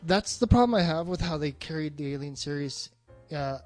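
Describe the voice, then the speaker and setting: A man's voice: fairly high pitch, lively intonation, a little fast, a little mellow, soft background music. A man chatting casually as a podcast co-host, retelling a plot in colloquial, sweary language. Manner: profane, colloquial.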